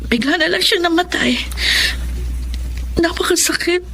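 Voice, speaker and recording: A woman's voice: very high voice, a little slow, creaky, a bit bright, slight background noise, full character voice. An actress with a Filipino accent playing a grieving, helpless young woman in an audio drama. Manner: sorrowful, helpless. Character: grieving young woman pleading for help over lost love. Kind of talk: Filipino radio-style drama acting. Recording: music behind the voice.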